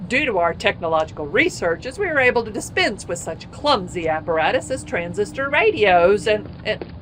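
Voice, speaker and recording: A woman's voice: mid-range voice, sing-song, normal speed, a little hiss or hum, lightly acted, rough recording. An actress playing a dreamy young romantic woman in old-time radio drama style. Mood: brightly salesy. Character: dreamy romantic young woman, secretly a company sales sample.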